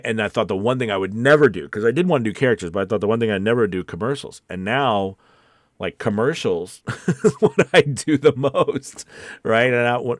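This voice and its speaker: A man's voice: on the low side, no echo, clean studio sound. A man hosting a casual livestream Q&A as a voice-over coach, talking in a conversational, rambling and encouraging way.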